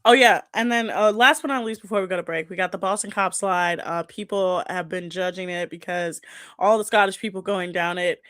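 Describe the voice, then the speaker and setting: A woman's voice: medium pitch, a bit bright, no echo, studio quality. An American woman hosting a podcast, chatting casually with a gossipy, slangy way of talking. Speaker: podcast host. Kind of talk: casual chat.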